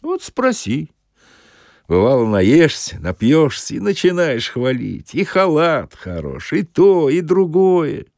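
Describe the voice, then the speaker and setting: A man's voice: on the high side, wildly swooping pitch, slow, darkish tone, dry recording, pitched above the performer's own voice, heavily acted. A male narrator acts out a shameless, boastful soldier in a satirical audiobook. He talks in folksy, colloquial storytelling with hugely varied intonation, recorded very dry. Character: thin, boastful, shameless soldier spinning tales.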